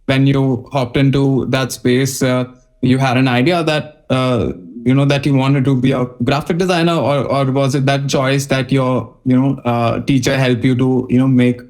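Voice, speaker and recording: Male podcast host with halting phrasing. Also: mid-range voice, steady tone, darkish tone. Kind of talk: casual interview.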